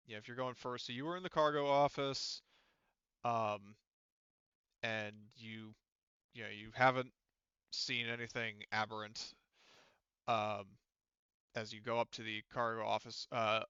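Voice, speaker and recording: A man acting as game master, narrating a tabletop game casually and haltingly as he thinks aloud. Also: mid-range voice, sharp tone, clean tone, dry recording, lo-fi sound.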